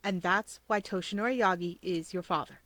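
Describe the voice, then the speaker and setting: A woman's voice: on the low side, brisk, fairly bright tone, no echo, lightly acted. Woman reading as an earnest teenage boy theorist. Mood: certain. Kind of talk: audiobook character voice.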